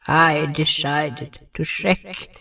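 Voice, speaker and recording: A man's voice: on the high side, steady tone, very slow, gravelly, sharp tone, distant mic. A man solemnly narrating a scripted first-person fantasy story in English. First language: not English. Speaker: narrator and writer of an audio drama.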